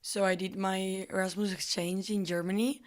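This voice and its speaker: A woman's voice: mid-range voice, little pitch movement, moderate pace. A woman from Spain telling an exchange story in a plain, explanatory way. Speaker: interviewee.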